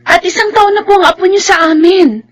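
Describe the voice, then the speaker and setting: Pleading young Filipina mother, very high voice, emotional, radio drama. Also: a little fast, no echo.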